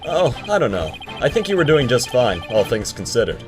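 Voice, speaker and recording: A man's voice: medium pitch, very animated, normal speed, lightly acted. A man playing an earnest, composed defense attorney with a polite, assured manner in a video game fandub. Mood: reassuring. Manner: polite, assured, occasionally exasperated. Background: prominent game music.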